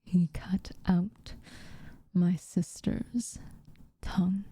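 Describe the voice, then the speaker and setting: A woman voicing a guarded, haughty yet secretly tender witch in an ASMR roleplay, softly and breathily, with slow, formal phrasing. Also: on the low side, little pitch movement, no echo, exaggerated voice. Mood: grim, measured. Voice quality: soft, breathy, often near-whispered. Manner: slow, formal, measured wording with reluctant warmth.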